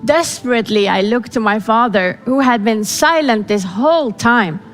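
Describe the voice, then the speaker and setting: A woman whose first language is Farsi, telling a personal story to an audience in an earnest, dramatic way with pauses. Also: fairly high pitch, expressive. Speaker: speaker. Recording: reverberant hall with faint music.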